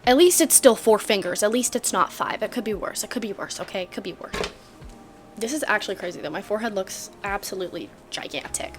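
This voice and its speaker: A woman's voice: medium pitch, expressive, fast talker. Bubbly twenty-year-old woman, casual vlog chat to camera, joking and full of fillers, faint music behind. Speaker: vlogger.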